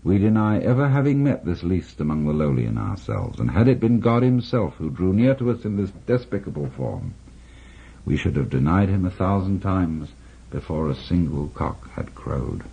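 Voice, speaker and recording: Deep-voiced man reading, old radio tape. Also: very animated, gravelly, breathy edge. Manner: measured, reflective delivery with dramatic pauses. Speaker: radio host reading aloud. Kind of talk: reading a philosophical text aloud. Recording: dull lo-fi 1960s radio recording.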